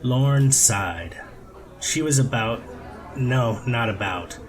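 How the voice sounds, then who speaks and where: A man's voice: mid-range voice, animated, slow, a crowd in the background. A man narrating a scripted story as an audiobook reader.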